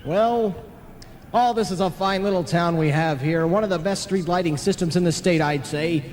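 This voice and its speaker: A man's voice: high-pitched, moderate pace, darkish tone, natural voice. A man acting a jovial, stout small-town speechmaker turned ghost, hearty and a little pompous, in an old-time radio play. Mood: musing, proud. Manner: hearty, folksy, given to speechifying. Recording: reverberant, noisy analogue tape of radio play.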